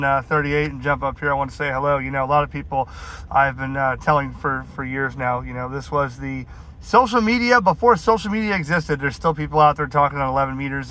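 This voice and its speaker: A man's voice: mid-range voice, pushed and bright, a little hiss or hum. A man calling over CB radio from a vehicle, chatting casually with slangy radio lingo. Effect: heard over CB radio. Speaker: mobile CB radio caller. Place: inside a vehicle on a highway.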